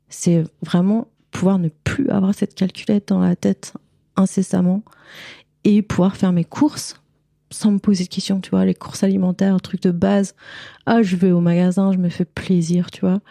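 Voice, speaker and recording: A woman's voice: medium pitch, fairly even intonation, measured pace, gravelly, warm tone, no echo, clean studio sound. A woman from France, speaking candidly and informally as a guest in a casual interview. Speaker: podcast guest, cleaning lady and visual artist.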